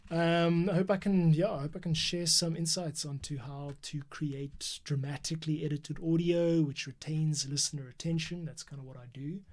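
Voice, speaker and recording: A man's voice: a little high, steady tone, moderate pace, hardly any pauses, a little mellow. A man giving a casual editing tutorial, muttering to himself in short bursts and thinking aloud as he works. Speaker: podcast editor and host. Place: at a computer workstation.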